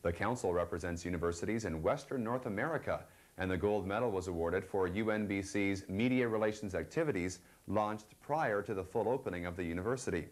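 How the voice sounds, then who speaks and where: Guy with a lowish voice reading campus news, steady scripted delivery. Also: a little fast. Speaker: program narrator.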